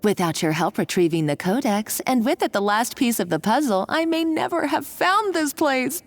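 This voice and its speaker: A woman's voice: a little high, expressive, moderate pace, lightly acted. An actress voicing an unhinged, scheming woman villain in a video game, with a theatrical, gloating delivery. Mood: gloating triumph.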